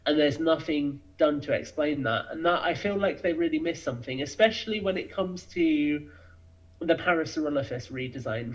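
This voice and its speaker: A man with a fairly high voice speaks as a guest in a casual podcast interview. He explains his ideas fluently, and the sound is slightly dull and lo-fi, as from a remote call.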